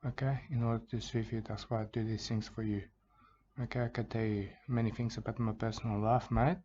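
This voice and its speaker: Aussie bushman, hushed flat mumble, low voice, dull lo-fi sound, as a man puts him on. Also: fairly quick, slight background noise, natural voice. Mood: hushed rambling. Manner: hushed, mumbling, repeats "mate" and "I can tell you". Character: rambling male Aussie bushman, soft-spoken, plotting revenge. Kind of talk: parody soothing wildlife narration. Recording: dull lo-fi recording.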